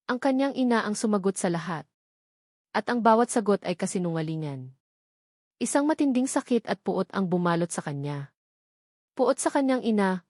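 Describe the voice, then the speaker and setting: A woman's voice: mid-range voice, a little mellow, no echo, studio quality. A Filipino woman reading a scripted romance story as a dramatic narrator.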